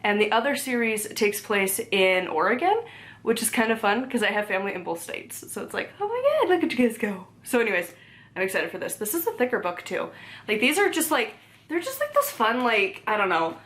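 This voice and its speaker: A woman's voice: mid-range voice, sing-song, fairly quick, a bit bright. A young woman chatting casually at home about her library books, rambling, full of "like" fillers, with easy laughs. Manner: rambling, chatty, many "like" fillers and self-corrections; easily laughs, talks to her cat between books. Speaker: book vlogger. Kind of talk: casual chat. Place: home, indoors.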